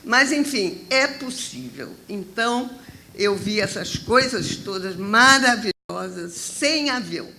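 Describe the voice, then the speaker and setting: A woman's voice: medium pitch, lively intonation, takes it slowly, pushed and bright, slight background noise, poor audio. A woman from southern Brazil in the audience, telling an enthusiastic travel story during a public panel Q&A. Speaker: audience member.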